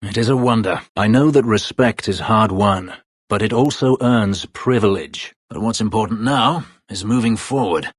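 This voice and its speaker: A man playing a brooding, bitter elf warrior and escaped slave in a video game, terse, grave and sardonic, with a gravelly, husky voice. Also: on the low side, normal speed, dry recording, clean studio sound. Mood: reflective. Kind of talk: video game character voice lines.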